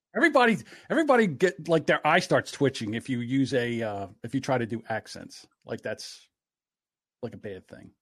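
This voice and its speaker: A man co-hosts with a joking, bantering manner in loose, casual chat. His voice is mid-pitched and sounds dry and close to the mic. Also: expressive, normal speed, fairly bright tone, slightly rough, dry recording. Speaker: podcast co-host.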